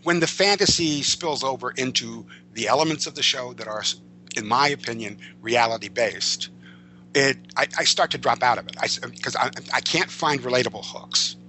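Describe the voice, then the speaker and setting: A man speaking as an interview guest in casual conversation, measured, articulate and courteous. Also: mid-range voice, pushed and bright, rough recording. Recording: faint noise and hum.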